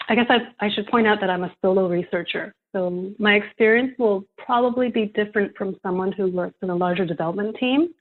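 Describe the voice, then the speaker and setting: A young woman from Canada explains her daily work in a steady, measured way. Her voice comes through a tinny, phone-like line, sitting in a middle pitch range for a woman. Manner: measured, explanatory, occasional soft laugh. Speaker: guest, solo prospect researcher. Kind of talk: interview answers.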